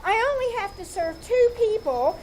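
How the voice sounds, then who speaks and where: A woman's voice: very high-pitched, sing-song, normal speed, a little mellow, a little hiss or hum. A woman playing a stubborn, blunt housemaid in a 1940s radio comedy, bossy and obstinate, performed live on stage.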